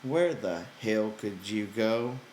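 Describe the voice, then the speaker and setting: Man acting a gruff, weary slow-talking ranch hand. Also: fairly low pitch, expressive, a little mellow, roomy sound, slight background noise. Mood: exasperated. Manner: gruff, exasperated, folksy ungrammatical speech. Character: gruff, weary, irritable male ranch hand looking after companion.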